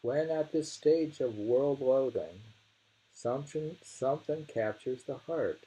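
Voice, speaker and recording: A man with a gravelly, husky voice reads translated poems aloud and muses over them informally, deliberate with the verse and tentative in his asides. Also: medium pitch, dark tone, low-quality recording. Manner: deliberate delivery when reading, musing and tentative in asides. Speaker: reader of poetry.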